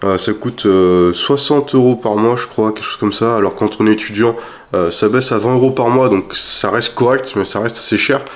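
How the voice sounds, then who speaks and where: A man's voice: on the low side, moderate pace. A young man vlogging casually about his week, rambling with lots of fillers and restarts, sounding stuffy from a cold. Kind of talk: casual weekly vlog chat.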